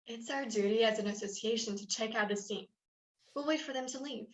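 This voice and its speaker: A woman's voice: fairly high pitch, brisk, lightly acted. A woman playing a cautious, rule-bound talking forest animal in a staged reading, firm and officious.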